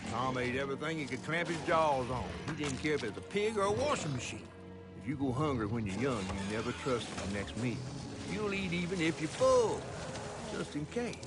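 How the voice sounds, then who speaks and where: A giant talking catfish from a video game, spinning tales in a theatrical Southern drawl. The voice is a little high and very animated, playful and teasing. Music plays clearly behind it. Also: male voice. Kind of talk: video game character acting and narration.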